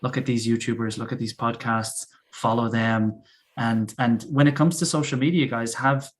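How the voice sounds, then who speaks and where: A man's voice: mid-range voice, fairly even intonation, moderate pace, a little hiss or hum. A man who teaches English, chatting casually and enthusiastically, often repeating words as he works out his thoughts.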